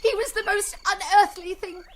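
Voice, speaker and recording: Very high-pitched, frightened young heroine. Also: female voice, fairly even intonation, moderate pace. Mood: horrified, shaken. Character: frightened young woman searching a jungle for her missing father. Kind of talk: old-time radio adventure acting. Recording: vintage radio recording with faint noise.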